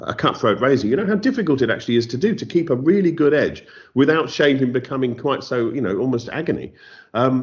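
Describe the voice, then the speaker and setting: A man with an English accent, a novelist being interviewed, who talks in a thoughtful, self-deprecating way with many restarts. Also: mid-range voice. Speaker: novelist guest. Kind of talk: interview conversation. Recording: slightly dull lo-fi audio.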